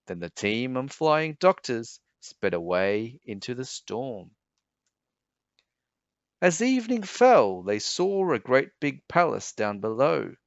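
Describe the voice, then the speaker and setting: Slow, animated man reading a storybook. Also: medium pitch, dry recording. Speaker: storybook reader. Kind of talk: children's read-aloud narration. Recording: dull, slightly muffled sound.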